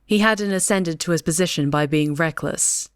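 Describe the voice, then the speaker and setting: A woman's voice: sits low, moderate pace, smooth, no echo. A woman reading scripted story narration as an audiobook narrator. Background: soft music.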